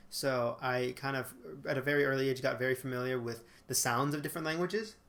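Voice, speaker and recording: A man's voice: medium pitch, a bit bright. Young man, casual monologue, webcam in a small bedroom, hesitant and self-correcting. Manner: conversational, many fillers and self-corrections. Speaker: vlogger. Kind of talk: casual talking-head monologue.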